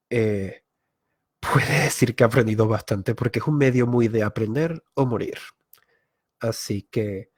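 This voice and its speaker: A man speaks in a middle-pitched voice during a live-streamed masterclass. He thinks out loud, using filler sounds and restarting phrases as he goes. The sound is dry and close, with no room echo. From Venezuela. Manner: thoughtful, self-correcting, many filler pauses. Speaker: YouTuber giving a live online masterclass.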